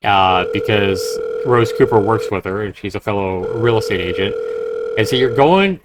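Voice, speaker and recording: A man livestreaming a horror game, reading dramatically and commenting casually, with loud game sound behind his voice. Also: lowish voice, very noisy. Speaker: game streamer. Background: horror game audio.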